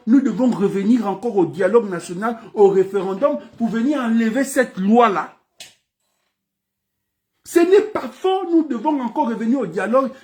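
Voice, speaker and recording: A man's voice: very high-pitched, darkish tone. A man from Gabon with a Gabonese French accent, giving an impassioned political speech full of repeated phrases and rhetorical questions. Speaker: political commentator.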